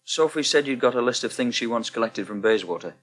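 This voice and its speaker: An actor playing an easygoing houseguest in a radio soap, frank, wry and reassuring. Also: male voice, medium pitch, animated, quick pace, fairly bright tone, close to an everyday voice. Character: easygoing, candid male houseguest. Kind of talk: British radio soap acting.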